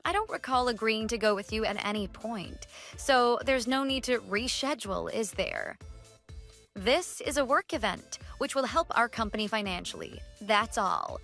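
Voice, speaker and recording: A woman's voice: a little high, lively intonation, moderate pace, creaky, fairly bright tone. Woman playing a curt, unimpressed professional woman. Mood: cool, firm. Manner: cool, dismissive, businesslike. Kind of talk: radio drama dialogue. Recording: voice over background music.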